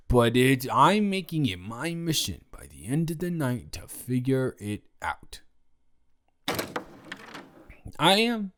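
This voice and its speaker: A young man playing a tipsy, goofy college student roommate, rambling and stammering as he talks directly to the listener in an improvised audio roleplay. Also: mid-range voice. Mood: teasing, determined. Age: young adult. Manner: rambling, stammering drunken speech with giggles.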